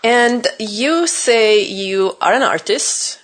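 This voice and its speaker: A woman's voice: on the high side, slow. A woman acting as a guest interviewer on a podcast, asking short, playful questions in casual conversation.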